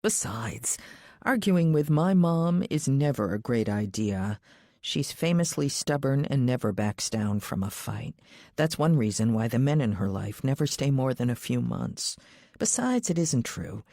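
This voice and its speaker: A woman's voice: very deep voice, very animated, unhurried, warm tone, no echo, clean studio sound. A woman reading a scripted first-person thriller audiobook as its narrator.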